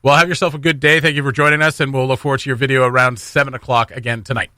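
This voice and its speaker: A man's voice: medium pitch, level tone, very fast, bright, forceful tone, no echo, studio quality. A man hosting a morning radio show in an informal, jokey way with casual banter. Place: dry radio studio.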